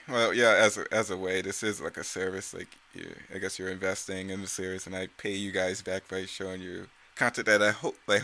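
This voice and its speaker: Bright-voiced man rambling through casual commentary. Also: on the low side. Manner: rambling, self-correcting, giggly. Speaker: creator giving commentary on own motion comic series.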